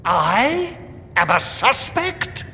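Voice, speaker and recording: An actor playing a haughty, hostile church chancellor in a fantasy game, indignant and accusing. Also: male voice, extremely high pitch, very animated, drawn-out words, sharp tone, exaggerated voice. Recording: echoing hall reverb, faint noise.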